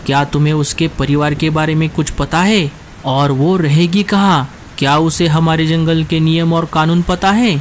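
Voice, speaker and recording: Cartoon elder crow, male, man performer: slightly high, stern, wary, authoritative; faint background noise. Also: fairly even intonation, moderate pace, close to an everyday voice. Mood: stern, suspicious. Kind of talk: Hindi cartoon story dialogue.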